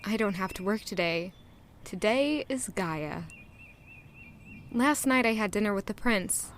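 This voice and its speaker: A woman's voice: medium pitch, expressive, a little slow, a little hiss or hum, lightly acted. An actress narrating as a young female castle maid, in an earnest, introspective first-person way. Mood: reflective. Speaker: narrator and lead character.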